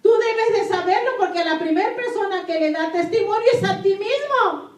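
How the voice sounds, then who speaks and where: Very high-pitched woman preacher in an echoey room. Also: lively intonation, normal speed. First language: Spanish. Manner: emphatic, repeats key phrases and puts questions to listeners. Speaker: pastor preaching to a congregation. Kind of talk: sermon with scripture reading. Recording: echoey room, distant microphone.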